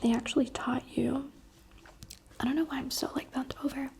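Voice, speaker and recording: A woman's voice: high-pitched, steady tone, moderate pace, gravelly, darkish tone, husky. Whispering young woman, chatty ASMR. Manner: unvoiced whisper, chatty and candid. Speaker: ASMR creator.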